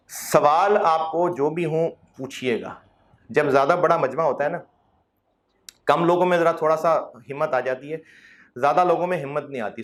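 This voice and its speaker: A male accounting lecturer, talking to students in a classroom in a chatty, coaxing way. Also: fairly high pitch. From Pakistan. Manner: conversational, coaxing, teasing with mock quotes.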